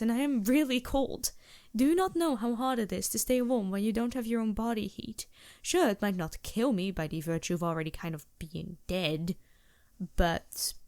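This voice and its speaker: A woman's voice: medium pitch, no room sound, studio quality, close to an everyday voice. A woman playing a polite, reassuring vampire merchant in a fantasy ASMR roleplay, speaking formally with small hesitant asides. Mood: earnest, reassuring. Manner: genteel, formal phrasing with hesitant asides and soft chuckles.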